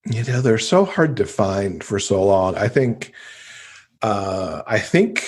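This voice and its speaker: A man's voice: on the low side, darkish tone, slightly rough. A man from the United States, a podcast guest telling stories casually and enthusiastically. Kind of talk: casual conversational storytelling.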